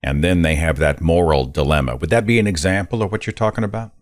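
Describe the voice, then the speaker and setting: Very deep-voiced male host. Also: fast, dry recording, studio quality. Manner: opinionated, conversational. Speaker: podcast host. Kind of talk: interview questions.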